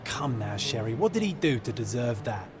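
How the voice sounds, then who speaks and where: A man's voice: medium pitch, sing-song, moderate pace, over music, natural voice. An actor playing a cheerful, teasing companion in a video game, coaxing his friend along. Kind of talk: video game cutscene voice acting.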